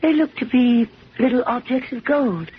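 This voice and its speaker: A woman's voice: on the high side, lively intonation, normal speed, close to an everyday voice. An actress playing a polite, earnest woman job candidate in a 1970s radio drama. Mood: polite, curious. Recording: dull, hissy old radio broadcast.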